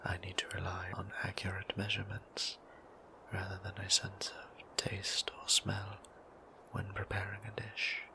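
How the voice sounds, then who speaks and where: Man playing a whispering, creaky-voiced gentle vampire priest. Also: monotone, exaggerated voice. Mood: tender, courteous. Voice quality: breathy whisper with creaky low tone. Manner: formal, old-fashioned, polite, measured phrasing. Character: gentle, courteous male vampire priest, fond and bashful. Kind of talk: intimate whispered audio roleplay.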